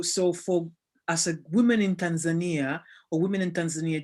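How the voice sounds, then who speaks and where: An interviewer asking follow-up questions and giving frequent short acknowledgements. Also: medium pitch. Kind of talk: conversational interview.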